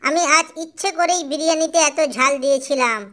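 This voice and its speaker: A woman voicing a fretful, caring but shrewd mother songbird in an animated cartoon. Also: high voice, fairly quick. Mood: determined. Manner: fretful, expressive.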